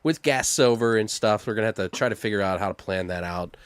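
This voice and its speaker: A man's voice: on the low side, quick pace, clear, resonant tone, dry recording. Casual man podcasting, dry close sound. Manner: animated slangy talk with frequent swearing. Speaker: podcast co-host. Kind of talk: casual chat. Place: home studio room.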